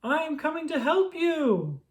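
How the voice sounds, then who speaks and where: Reader doing a worried little storybook toad guy, super excitable, voice swings all over the place. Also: high voice, unhurried, voice pushed up. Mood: urgent. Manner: worried, exclamatory. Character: fretful, excitable male toad, anxious loyal friend. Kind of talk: children's storybook read-aloud.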